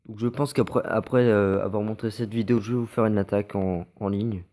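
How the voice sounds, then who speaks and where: French man, low mellow voice, congested, halting casual game commentary. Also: fairly even intonation. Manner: hesitant, many fillers and restarts. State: stuffy from a cold. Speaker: gameplay commentator. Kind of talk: casual gameplay commentary over recorded matches.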